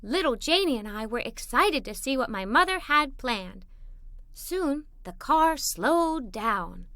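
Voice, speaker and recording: A high, bright female voice tells a children's story with animated, swooping intonation. She is expressive and dramatic, taking her time over the phrases. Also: slow pace, no room sound, clean studio sound. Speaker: storybook narrator. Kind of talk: animated read-aloud for young children.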